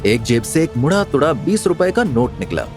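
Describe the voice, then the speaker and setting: Expressive male story narrator. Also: a little high, normal speed, soft background music. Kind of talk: dramatic scripted story narration.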